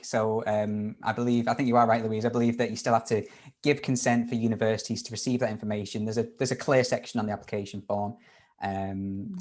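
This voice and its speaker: A man's voice: on the low side, a little mellow. A man hosting a university webinar Q&A on a video call, upbeat and informal with lots of fillers and restarts. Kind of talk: live webinar Q&A.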